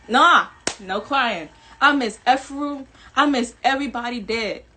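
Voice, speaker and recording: Woman, Jamaican-American accent, bright and sharp, emphatic, repetitive, candid vlog talk. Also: a little high, a little slow, a little hiss or hum. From New York, Jamaican family. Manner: emphatic, repetitive, swearing, laughing between points. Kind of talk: candid personal video monologue.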